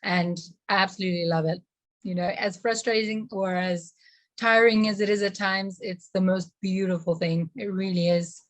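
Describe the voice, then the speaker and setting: A young woman, earnest and gentle, sharing personal experiences in a casual chat. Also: medium pitch, fairly even intonation, moderate pace, no room sound, poor audio. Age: young adult. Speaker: guest.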